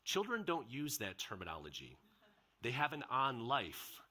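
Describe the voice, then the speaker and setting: A man's voice: mid-range voice, wildly swooping pitch, moderate pace, pushed and bright, slightly rough. A middle-aged man moderating a panel discussion in a conversational way, asking questions.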